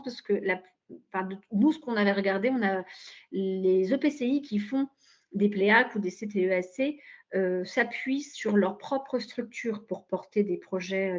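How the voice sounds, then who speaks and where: A woman explaining policy in a webinar, haltingly searching for her words. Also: mid-range voice, darkish tone. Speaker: local government cultural policy official, panel speaker. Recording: dull, lo-fi online call audio.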